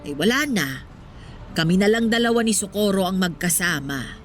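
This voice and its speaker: A woman's voice: medium pitch, expressive, moderate pace, a little hiss or hum, close to an everyday voice. An actress playing a proud, talkative sixty-year-old Filipino street vendor with a motherly, exclamatory manner in a radio drama.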